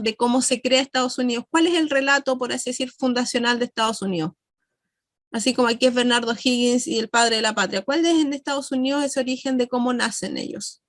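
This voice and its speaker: A woman's voice: a little high, fairly quick, no echo, clean studio sound. A woman lecturer with a Chilean accent giving a class, explaining at length, posing rhetorical questions and answering them, with frequent fillers and self-corrections. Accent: Chilean Spanish. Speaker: university lecturer.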